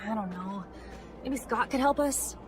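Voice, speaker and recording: A woman's voice: a little high, a little slow, over music, lightly acted. A woman playing a wry, salty-tongued rover mechanic in a game cutscene, talking casually. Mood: casual, doubtful. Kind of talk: video game cutscene acting.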